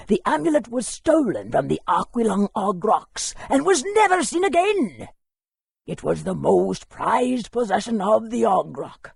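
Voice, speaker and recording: Scholarly game lore-keeper: animated pitch, gravelly creak, helpful storyteller's delivery. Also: male voice, dry recording, heavily acted. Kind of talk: video game voice acting.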